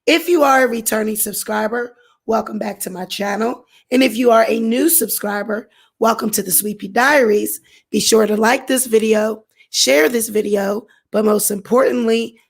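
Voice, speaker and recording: A woman hosting her own commentary channel, speaking with a hoarse voice and a chatty manner full of "you know" and "um" fillers. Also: on the high side, steady tone, moderate pace. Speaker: channel host. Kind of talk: casual commentary vlog.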